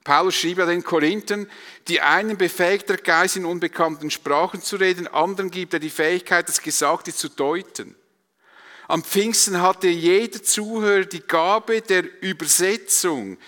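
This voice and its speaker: Male Swiss German preacher: forceful bright tone, rhetorical and emphatic, recorded in a big reverberant hall. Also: high-pitched, normal speed. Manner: emphatic, rhetorical repetition and questions. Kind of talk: sermon to a congregation.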